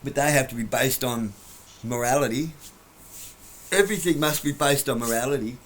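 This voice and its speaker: A man's voice: medium pitch, a little hiss or hum. Blunt lecturing man. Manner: lecturing, blunt, rambling, trails off mid-word; quick to laugh at himself. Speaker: vlogger. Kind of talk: rambling preachy monologue. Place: home, on a couch.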